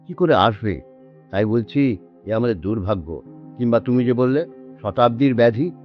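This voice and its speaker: A man's voice: mid-range voice, animated, normal speed, natural voice. An actor playing an anxious, lonely old man in a radio drama, speaking hesitantly and repeating his words. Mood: weary sorrow. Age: older. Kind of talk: Bengali radio drama acting. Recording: dull lo-fi audio with background music.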